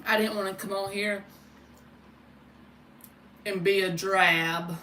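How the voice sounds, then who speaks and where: A woman's voice: mid-range voice, steady tone, takes it slowly, pushed and bright. A woman hosting a casual livestream as a tarot reader, chatting informally with viewers and giving spiritual readings. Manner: informal, chatty, addresses viewers by name, mild swearing. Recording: roomy, distant mic with faint noise.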